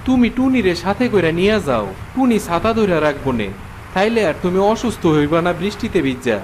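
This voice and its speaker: A man's voice: high voice, lively intonation, a little fast, a little hiss or hum. A man with a Bangladeshi accent voicing an ailing, downhearted but loving village father in a cartoon. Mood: worried. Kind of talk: Bengali fairy tale cartoon dubbing.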